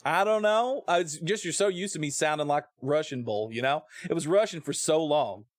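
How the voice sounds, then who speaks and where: Higher-voiced American man, playful game streamer. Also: moderate pace, no room sound, studio quality. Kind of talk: casual joking live gameplay commentary, reading game text aloud.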